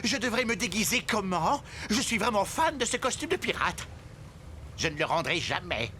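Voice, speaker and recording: An excitable fake pirate captain in a cartoon, high voice, noisy background. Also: male voice, moderate pace, heavily acted. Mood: gleeful. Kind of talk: French cartoon dub. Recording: clear noise behind, outdoor ambience.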